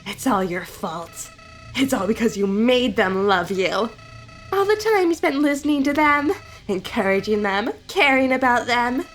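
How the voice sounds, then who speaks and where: A woman's voice: on the high side, expressive, a little slow, fairly bright tone, soft background music. A woman voicing a sneering, cruel talking flower who mocks and taunts, in a fan dub of a game. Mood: smug. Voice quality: mocking, taunting. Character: sneering evil flower, boyish, cruel and gleeful.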